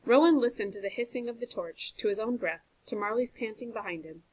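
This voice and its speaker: A woman teacher reading a chapter book aloud to a class, with clear, expressive narration. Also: fairly high pitch, a little fast, poor audio. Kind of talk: storybook read-aloud for a class.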